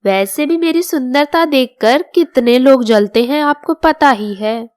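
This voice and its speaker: A woman's voice: sits high, unhurried, darkish tone, clear, resonant tone, no room sound. A woman voicing a vain, insolent young daughter-in-law in a family moral story, haughty and cheeky. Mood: smug.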